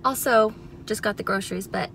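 A woman's voice: mid-range voice, fairly quick, gravelly, fairly bright tone, a little hiss or hum. A woman in her thirties from Oregon, chatting upbeat and direct to her viewers as she vlogs her weekend routine. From Oregon, USA. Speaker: lifestyle vlogger. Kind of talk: casual vlog narration. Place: parked car, then a home kitchen. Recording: music playing under the voice. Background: background music.

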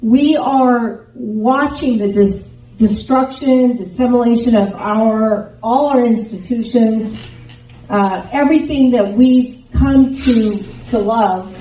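A woman's voice: on the high side, slow, dark tone. An older woman who grew up in Taiwan with Chinese as her first language, giving an earnest, rambling campaign speech into a handheld microphone in an indoor meeting room. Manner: earnest, rambling with frequent restarts. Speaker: congressional candidate. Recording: roomy sound from a distant camera.

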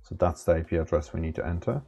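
A man in his thirties with a very low, gravelly voice talks through a software setup at an easy pace. He fills gaps with ums and uhs as he goes. Faint music sits under his voice. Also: fast talker, lo-fi sound. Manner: relaxed, step-by-step with many fillers. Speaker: tech tutorial presenter. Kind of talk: casual screen-recorded tutorial. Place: small room indoors.

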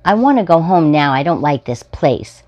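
A woman's voice: low-pitched, big pitch swings, normal speed, darkish tone, muffled recording, voice pushed up. A woman voicing an upset, impatient little boy in a storybook, whiny and demanding. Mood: angry, whiny.

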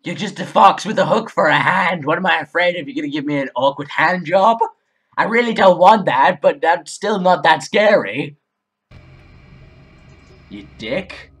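A man's voice: a little high, lively intonation, moderate pace, fairly bright tone, breathy edge. A young man giving dramatic, complaining, exclaiming commentary while playing a horror game. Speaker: video game player and host. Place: indoor room at a computer, on webcam.

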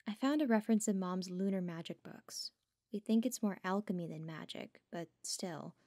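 A woman's voice: medium pitch, unhurried, a little mellow, no room sound, clean studio sound, lightly acted. A woman playing a curious, bookish young researcher in an audio drama, speaking thoughtfully and conversationally. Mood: puzzled, uneasy. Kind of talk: audio roleplay drama acting.

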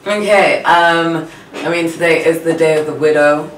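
A woman's voice: deep voice, steady tone, normal speed, far from the mic, slight background noise. Earnest woman in a roomy studio. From Tanzania. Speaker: guest singer-songwriter being interviewed. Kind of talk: casual interview talk. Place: small radio studio. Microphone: studio microphone on boom arm.

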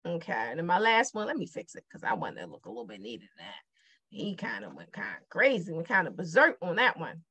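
A woman's voice: fairly low pitch, wildly swooping pitch, quick pace, pushed and bright, breathy edge. Chatty Baltimore woman teaching math. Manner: chatty, repeats questions to the viewer, digresses then refocuses. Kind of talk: casual whiteboard math lesson.

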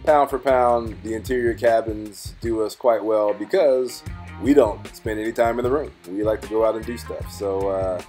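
A man's voice: on the low side, fairly even intonation, moderate pace, darkish tone, soft background music. A middle-aged man vlogging a cruise ship tour, talking in an easygoing, agreeable way and often restarting his sentences. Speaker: travel vlogger. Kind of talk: casual travel vlog chat. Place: aboard a cruise ship, outdoor deck and corridors. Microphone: handheld camera at arm's length.

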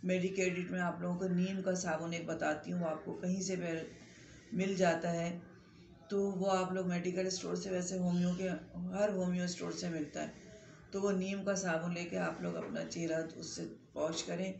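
A woman's voice: on the low side, little pitch movement, normal speed, vocal fry, a little mellow. A middle-aged woman doctor with a sore throat, giving chatty, instructive skin-care advice straight to a phone camera in her small clinic. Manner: chatty, instructive, holds up products while explaining. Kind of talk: direct-to-camera health advice talk. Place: small indoor clinic room lined with medicine shelves. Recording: echoey room with faint background noise.

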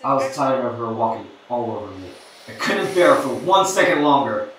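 A man's voice: medium pitch, sing-song, a little fast, very noisy, lightly acted. A man acting as a haunted, poor young father, narrating his bitter confession as a dramatic monologue. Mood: resentful. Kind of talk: first-person dramatic monologue narration with music.

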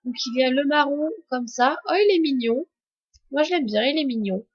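A woman's voice: sits high, moderate pace, highs cut off. A young woman with a cold commenting on a game update in a chatty, hesitant way full of fillers. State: has a cold. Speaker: gaming YouTuber.

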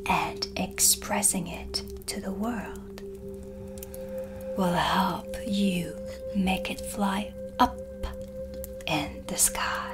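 A dark witch acted by a woman whispers close to the mic in a cinematic ASMR roleplay. She is brooding and blunt, drawing out her words extremely slowly with ominous pauses. Music plays clearly behind her breathy voice. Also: mid-range voice. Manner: slow, deliberate, ominous, with pauses. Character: dark witch, brooding, blunt, mysterious tea-reading hostess. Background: ambient music.

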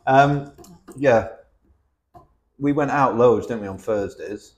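A man's voice: medium pitch, slow pace, a little hiss or hum. A man from Cheshire with a North West English accent, hosting a casual podcast chat with teasing banter and lots of tag questions. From Cheshire, England. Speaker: podcast host.

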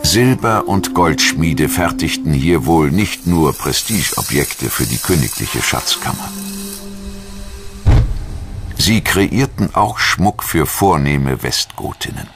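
A man reading a scripted history documentary narration in a measured, articulate storytelling manner. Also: a bass voice, big pitch swings. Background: music.

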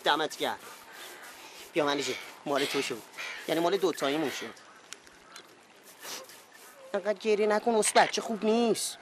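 A man's voice: a little high, pauses often, noisy background, close to an everyday voice. A man playing a boastful, self-pitying character who talks theatrically, with mock praise and sulky complaints.

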